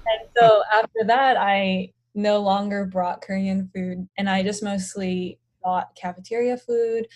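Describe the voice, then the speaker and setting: A young woman from the United States speaks as a podcast guest. She talks in an easy, hesitant way, leaning on fillers as she explains things. Her pitch sits in a middle, ordinary range. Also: measured pace, poor audio. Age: young adult. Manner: relaxed, hesitant with frequent fillers. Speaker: guest, pharmacy student. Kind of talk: casual interview answers.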